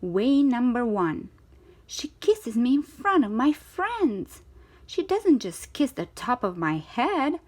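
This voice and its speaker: Animated, high-voiced woman reading a children's story. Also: takes it slowly. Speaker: storytime reader of children's books. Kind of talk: expressive read-aloud storytelling for children.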